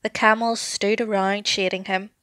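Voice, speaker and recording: A woman narrating a children's story with an expressive, deliberate, dramatic delivery. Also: medium pitch, no echo, studio quality.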